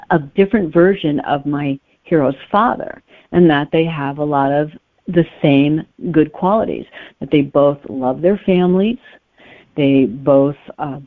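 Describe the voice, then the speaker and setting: A woman's voice: deep voice, no echo. A woman speaking as a guest author, giving conversational answers in an interview. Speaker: guest author discussing her book. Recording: narrow phone-like line, dry.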